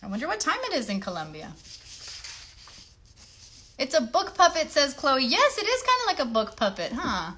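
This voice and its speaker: A woman's voice: high voice, wildly swooping pitch, moderate pace, long pauses, bright, forceful tone. A woman hosting a casual children's livestream, encouraging young viewers and teaching crafts with frequent little check-in questions. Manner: encouraging, addresses children directly with tag questions.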